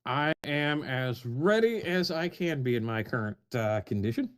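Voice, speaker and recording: A man's voice: medium pitch, animated, normal speed, clear, resonant tone. A man chatting casually on a podcast call, wry and joking. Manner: wry, joking, reads foreign text aloud with flair. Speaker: podcast regular.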